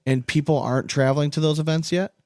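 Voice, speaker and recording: A man's voice: a little high, steady tone, fast talker, darkish tone, no echo, clean studio sound. An American man co-hosting a podcast in casual, informal conversation with colloquial wording. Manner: informal, colloquial, mildly profane. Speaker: podcast co-host. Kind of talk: casual chat, asking questions.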